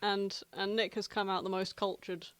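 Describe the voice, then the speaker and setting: A woman's voice: medium pitch, level tone, normal speed, no room sound. A woman co-hosting a podcast in casual banter, with a playful, wry manner. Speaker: podcast co-host.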